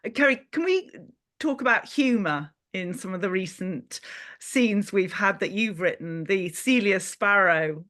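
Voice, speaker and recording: Woman host asking panel questions, chatty interview style, medium pitch. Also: animated, a little slow, a bit bright. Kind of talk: conversational interviewing.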